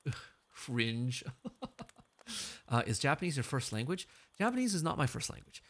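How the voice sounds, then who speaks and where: Chatty gravelly male streamer. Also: medium pitch, big pitch swings, normal speed, a bit bright. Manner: joking, reads chat aloud, frequent laughs. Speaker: VTuber streamer. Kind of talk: casual chat between karaoke songs.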